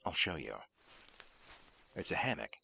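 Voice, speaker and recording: An actor playing a gruff, world-weary special-forces soldier in an audio drama, terse and sardonic. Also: male voice, low voice, very animated, measured pace, pushed and bright, a little hiss or hum, full character voice. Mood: gruff, stern. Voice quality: gravelly. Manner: terse, commanding, dry sarcasm.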